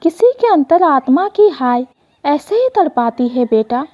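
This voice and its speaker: A woman's voice: sits high, sing-song, unhurried, mellow. A woman voicing a grave elderly father in a moral story, solemnly admonishing his son.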